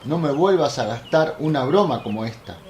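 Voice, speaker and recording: An actor playing an excitable, blunt apostle in a religious radio play, effusive and exclamatory. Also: male voice, medium pitch, moderate pace, muffled recording, a little hiss or hum, close to an everyday voice. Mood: grumbling, shaken.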